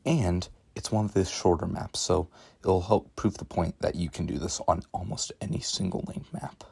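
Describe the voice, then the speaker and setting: Gravelly low-voiced man explaining gameplay. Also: steady tone, moderate pace, a little mellow, no echo. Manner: explanatory, casual, self-deprecating joking. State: rough voice. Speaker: gaming tutorial narrator. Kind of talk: gameplay commentary voice-over.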